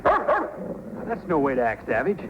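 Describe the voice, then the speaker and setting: An actor playing a gentle, earnest young male dog handler in an old-time radio drama. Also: on the high side, huge pitch swings, moderate pace, very noisy. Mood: coaxing, soothing. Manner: coaxing, soothing, earnest. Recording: tinny old radio broadcast with loud music.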